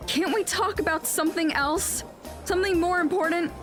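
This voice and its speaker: A woman's voice: extremely high pitch, fairly even intonation, moderate pace, over music. A woman playing a self-important, indignant teenage schoolgirl in an audio drama, speaking in an emphatic, accusatory way.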